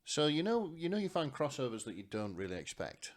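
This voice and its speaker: A man's voice: mid-range voice, very animated, a little fast. A man co-hosting a podcast in casual fandom chat, often hesitating and thinking aloud. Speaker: podcast co-host.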